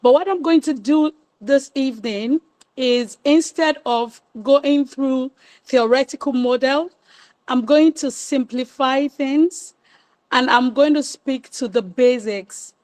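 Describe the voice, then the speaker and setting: A woman, hoarse from a cold, giving a webinar talk from her phone. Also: high voice, slow, darkish tone, no echo, poor audio. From Nigeria. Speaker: guest speaker. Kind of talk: webinar presentation. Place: online video call.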